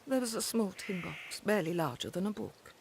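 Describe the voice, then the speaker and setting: A woman's voice: medium pitch, animated, brisk, gravelly, slight background noise. A woman playing a polite, subdued Victorian wife in a video game, hesitant and plain-spoken. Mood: uncertain.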